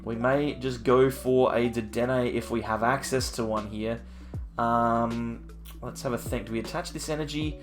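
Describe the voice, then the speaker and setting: A man with a slightly croaky voice from hay fever, casually thinking aloud as he plays and commentates his own card game, with frequent ums and uhs. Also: mid-range voice, steady tone, a little slow, soft background music. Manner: thinking aloud, musing over each move with frequent ums. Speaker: card game channel host.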